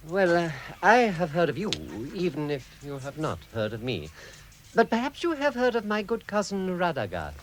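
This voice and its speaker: Old wizard, courteous yet crafty and authoritative, lively swooping delivery, vintage radio drama. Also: male voice, high voice, moderate pace. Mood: courteous, careful. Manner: hesitant diplomacy, throat-clearing, theatrical. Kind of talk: 1960s radio drama acting. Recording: vintage radio production with music.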